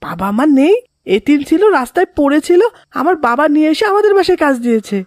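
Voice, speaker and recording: Woman voicing a high-pitched scornful young schoolboy. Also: big pitch swings, fast talker, darkish tone, no room sound, clean studio sound. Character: scornful young schoolboy, rude older brother. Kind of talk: children's moral cartoon voice acting.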